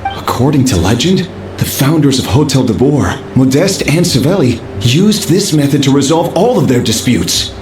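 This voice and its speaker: Man playing an animated, earnest hotel chef. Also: mid-range voice, normal speed, close to an everyday voice. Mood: eager explaining. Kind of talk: video game voice acting. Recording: echoey room, faint noise.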